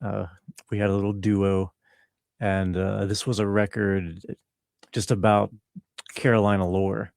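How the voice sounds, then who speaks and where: A man chatting casually as an interview guest, explaining his creative work in a laid-back way with pauses. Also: fairly low pitch, little pitch movement, gravelly, darkish tone, no room sound, clean studio sound. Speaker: interview guest, composer and musician. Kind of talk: casual conversational interview talk.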